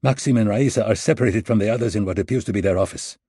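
A man voicing a ruthless male hit-squad leader who speaks in curt, commanding orders, with a husky voice. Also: on the low side, very fast, mellow, dry recording, studio quality. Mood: briefing.

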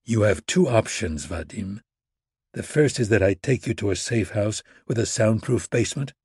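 Guy reading a cold hard operative, low clipped threats, husky voice. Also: animated, moderate pace, a little mellow, dry recording, clean studio sound, exaggerated voice. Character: cold, menacing male operative.

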